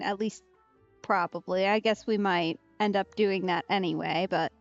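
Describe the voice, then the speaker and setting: Slow-talking woman streamer, highish voice. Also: creaky. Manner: halting, musing aloud. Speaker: let's play streamer. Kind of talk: casual game commentary. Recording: muffled, dry, faint game music behind.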